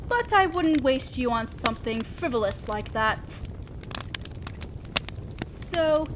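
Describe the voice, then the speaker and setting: Woman acting a high-pitched, teasing female demon lord. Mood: amused, then persuasive. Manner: theatrical, playful, mock-polite. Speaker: audio roleplay performer. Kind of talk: scripted ASMR-style roleplay addressed to listener. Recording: crackling fire noise behind voice. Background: crackling fire.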